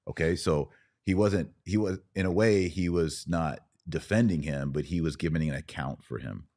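Really deep guy voice chatting on a podcast, reflective storyteller type. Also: moderate pace, darkish tone. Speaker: podcast host. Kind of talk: casual podcast conversation.

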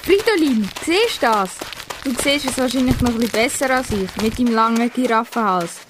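A woman's voice: fairly high pitch, expressive, brisk, noisy background, close to an everyday voice. A teenage girl with a Swiss German accent playing a timid but helpful animal in an amateur radio play. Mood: astonished.

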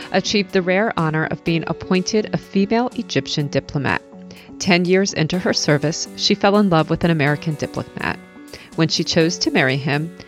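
A woman hosting a recipe podcast, reading an enthusiastic introduction and then interviewing her guest. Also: fairly low pitch. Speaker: podcast host.

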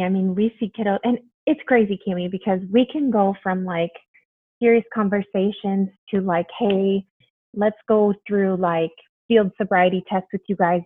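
A woman's voice: medium pitch, dry recording. A young woman from Mexico, a police officer, chatting casually and bubbly as a podcast guest. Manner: bubbly, chatty, frequent ums and laughs. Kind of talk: casual interview chat. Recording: dry tinny phone-like line.

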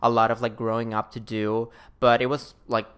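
A young man from Chile, a podcast guest, talking casually and haltingly with many fillers. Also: medium pitch, little pitch movement, a bit bright, clear, resonant tone. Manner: halting, filler-heavy, laughing easily. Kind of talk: casual chat.

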